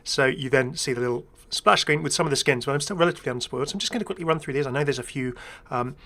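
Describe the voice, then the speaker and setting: A man's voice: mid-range voice, racing, bright, forceful tone. A man giving casual, rambling first-impressions commentary as a gaming video creator, chatty, enthusiastic and self-deprecating.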